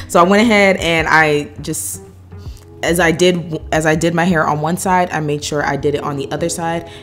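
A young woman in her twenties with a stuffy voice while recovering from a sore throat, chatting to viewers in a hair tutorial vlog from her apartment. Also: low-pitched. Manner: chatty, laughing, addresses viewers directly. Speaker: beauty vlogger. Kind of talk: casual hair tutorial chat. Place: apartment living room.

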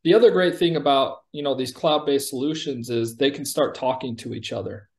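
A middle-aged American man, a company executive being interviewed on a video call, pitching conversationally and often checking in with "right?". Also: fairly quick, darkish tone. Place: indoor room, on a video call.

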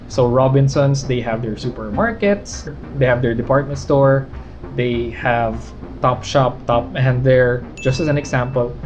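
A man's voice: medium pitch, darkish tone, highs cut off. Filipino man reviewing stocks over music. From Philippines. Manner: candid, conversational, gestures while explaining. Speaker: finance vlogger. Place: living room at home.

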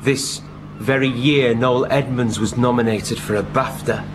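An actor in a comic radio play, playing an eccentric, evasive recluse of a rock star who poses as a lighthouse keeper, with a dry, deadpan manner. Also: male voice, mid-range voice, unhurried, noisy background, lightly acted. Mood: dry, matter-of-fact.

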